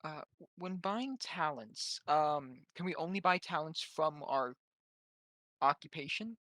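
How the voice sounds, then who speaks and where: Male tabletop player, bright and sharp voice, casual and slightly stammering, recorded dry. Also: on the high side, expressive, a little slow, no echo. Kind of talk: casual chat, asking about rules.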